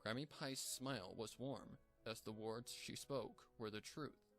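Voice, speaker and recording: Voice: medium pitch, measured pace. A narrator reading a fan fiction story as scripted storybook narration, with music behind the voice.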